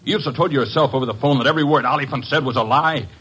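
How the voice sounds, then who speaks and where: A man playing a cornered, lovesick murderer, bitter and defensive, with a husky voice and blunt, pleading delivery in a 1950s radio drama. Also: medium pitch, rapid-fire, fairly bright tone. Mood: defensive, rattled. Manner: blunt, plain-spoken, pleading.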